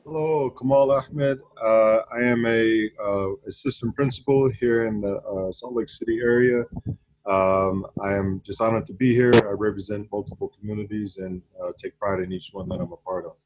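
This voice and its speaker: Earnest lower-voiced man. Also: steady tone, normal speed, darkish tone, dry recording, rough recording. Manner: earnest, with fillers. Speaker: assistant principal. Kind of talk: self-introduction at a meeting. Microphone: video call.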